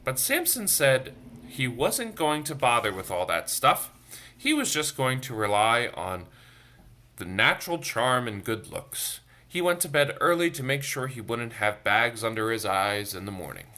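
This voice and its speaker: A man's voice: medium pitch, big pitch swings, unhurried, sharp tone. A man reading a picture book aloud to children, expressively, with chatty asides to his young listeners. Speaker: storytime reader for a church. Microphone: phone.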